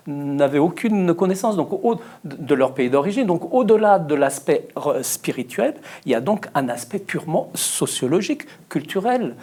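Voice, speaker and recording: A man from Portugal taking part in a Bible study discussion, speaking thoughtfully with many pauses and fillers. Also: on the high side, a little mellow. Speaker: discussion participant.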